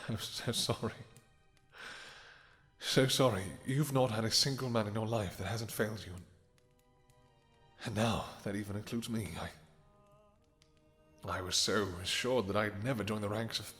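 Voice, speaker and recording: Man playing a deep, tender vampire king in reverb. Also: steady tone, fairly bright tone, soft background music. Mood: anguished, remorseful. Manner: halting, emotional, formal archaic endearments. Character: tender, devoted, guilt-ridden vampire king, romantic and regal. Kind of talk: intimate romantic fantasy audio roleplay. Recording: long hall-like reverb.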